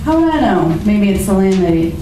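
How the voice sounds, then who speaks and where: Casting: sarcastic gangster's moll played by a woman, medium pitch, old radio crime play, recorded far from mic. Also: big pitch swings, brisk, close to an everyday voice. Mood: wary. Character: wisecracking crook's moll, sardonic and worried. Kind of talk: 1940s-style radio crime drama acting. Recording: echoey, distant, noisy hobby radio recording.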